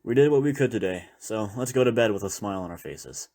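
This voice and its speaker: Guy doing a tired zombie apocalypse survivor, lowish male voice, kinda reflective and rambly, loyal partner in an audio roleplay. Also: animated, fast talker, darkish tone. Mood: warm, hopeful. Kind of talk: intimate audio roleplay, one-sided dialogue.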